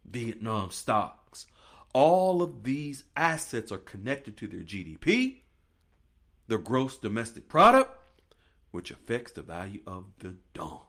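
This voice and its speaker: A man's voice: lowish voice, fairly bright tone. A man hosting a finance podcast, talking straight to listeners in an emphatic, preachy monologue that keeps repeating points and calling them "folks.".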